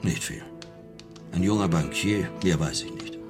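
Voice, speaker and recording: Ein Schauspieler spielt im deutschen Hörspiel einen höflichen, nachdenklichen Farmer, der früher Militärseelsorger war und seinen Glauben verloren hat. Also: male voice, low-pitched, expressive, measured pace, music in the background. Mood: reminiscing. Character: farmer, former army chaplain who lost his faith. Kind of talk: German radio drama acting.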